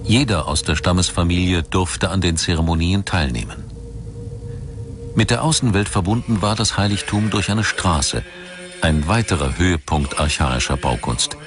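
Male documentary narrator, very low register, factual scripted read with a music bed underneath. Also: moderate pace. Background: background music.

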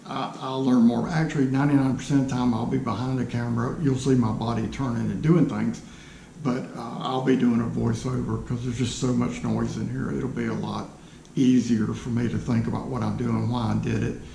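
A man in his sixties speaks with a creaky, mellow voice. He talks casually and haltingly, playing himself down as he goes. The sound is roomy, picked up by a camera in a small workshop. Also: mid-range voice, little pitch movement. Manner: halting, hesitant, self-deprecating. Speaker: woodturner introducing his channel. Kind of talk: casual unscripted vlog talk to camera. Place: small cluttered home workshop, indoors. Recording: roomy workshop echo, faint background noise.

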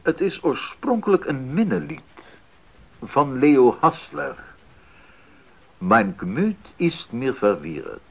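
An actor playing an earnest, enthusiastic Baroque composer in a 1980s radio drama, speaking deliberately and expressively with thoughtful pauses. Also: male voice, medium pitch, very animated, vocal fry, husky, heavily acted. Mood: musing. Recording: muffled 1980s radio recording.

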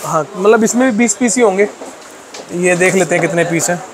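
Easygoing high-voiced shopkeeper. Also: male voice, a little fast, background noise. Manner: relaxed, easygoing, jokey. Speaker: wholesale shop owner. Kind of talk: casual chat.

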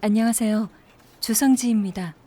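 A woman's voice: a little high, normal speed, dark tone, lightly acted. An actress in an audio drama playing a polite, matter-of-fact young female new recruit. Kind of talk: Korean audio drama acting.

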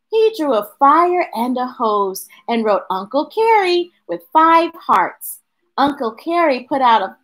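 A middle-aged woman reads a children's picture book aloud at an unhurried pace. Her voice sits a bit high and moves up and down a lot. She stretches words for emphasis and chats to the young listeners between lines. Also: poor audio. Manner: expressive, drawn-out delivery addressing young listeners. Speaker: storytime host reading a picture book aloud. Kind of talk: read-aloud storytelling with chatty asides to children. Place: small indoor room with bookshelves.

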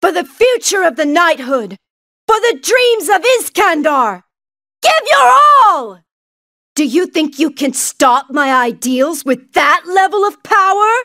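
A righteous lady knight from a game, high voice, super fervent, big swings in pitch. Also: very animated, unhurried, pushed and bright, no echo, studio quality, exaggerated voice. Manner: declamatory, fervent, formal. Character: zealous, righteous female knight driven by ideals. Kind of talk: video game villain acting.

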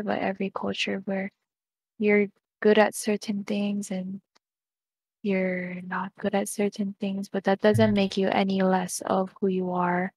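A young woman talks as a guest on a casual podcast, in an earnest, measured way. Her delivery is fairly level with little pitch movement. The sound is dry and close, picked up by a headset in a small home room. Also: dry recording. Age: young adult. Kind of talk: casual conversation.

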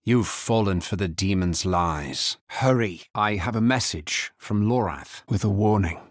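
An actor playing a grave, world-weary necromancer hero in a fantasy video game, speaking solemnly in measured, understated lines. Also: male voice, fairly low pitch, no echo, clean studio sound. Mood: stern, urgent.

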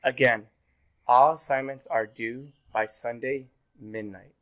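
A man in his thirties, a little sick and hoarse, giving a pre-recorded online class as instructor, relaxed and full of fillers. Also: mid-range voice, measured pace. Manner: relaxed, filler-heavy, repeats "go ahead". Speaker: university instructor. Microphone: webcam in corner of screen recording.

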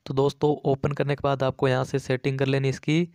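Man, very monotone, clean smooth voice, casual tutorial host, repetitive instructions. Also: medium pitch, fast talker, dry recording, clean studio sound. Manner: repetitive, instructional, addressing viewers as friends. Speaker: tech tutorial YouTube host. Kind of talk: casual step-by-step app tutorial.

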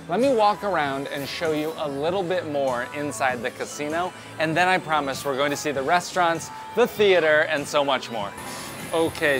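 Chatty man vlogging a ship tour. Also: fairly high pitch. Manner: chatty and upbeat, talking straight to camera. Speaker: cruise vlogger. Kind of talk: walking tour vlog. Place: cruise ship interior and decks. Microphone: handheld camera at arm's length.

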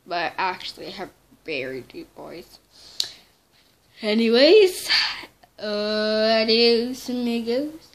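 A woman's voice: a little high, lively intonation, slow, pauses often. A young teen girl chatting casually to her camera in a small dim bedroom, rambling and correcting herself as she goes. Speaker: vlogger. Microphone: device camera held close.

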